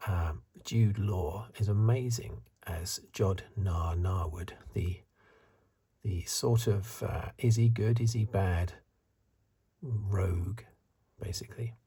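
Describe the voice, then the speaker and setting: Man, ASMR reviewer, soft half-whisper, very breathy, deep and mellow, hesitant musing delivery. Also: slow. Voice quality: breathy, hushed. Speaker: host of a film review video. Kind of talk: soft-spoken ASMR commentary, partly whispered.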